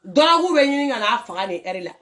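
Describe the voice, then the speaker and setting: Animated woman with a lively voice. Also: fairly high pitch, a little fast. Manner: animated, laughing, scolding. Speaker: social media commentator. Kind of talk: casual commentary on voice notes.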